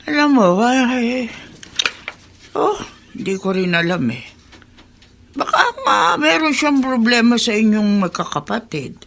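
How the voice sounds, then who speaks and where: A woman playing an older female confidante in a radio drama, speaking in a hesitant, drawn-out way. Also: on the high side, expressive, a bit bright. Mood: concerned, evasive. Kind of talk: Tagalog radio drama acting.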